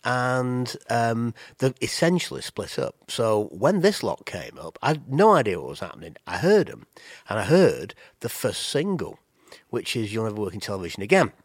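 A man's voice: medium pitch, very animated, moderate pace, breathy edge, no echo, studio quality. A man with a cold and a nasal voice, chatting casually about music as a podcast co-host, rambling with restarts. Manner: rambling, with many restarts and fillers.

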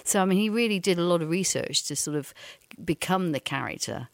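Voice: mid-range voice, animated, no echo. Chatty co-host sharing fun facts, mid voice, dry sound. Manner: chatty, quick to laugh and agree, shares trivia. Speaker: radio co-host. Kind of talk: casual radio chat.

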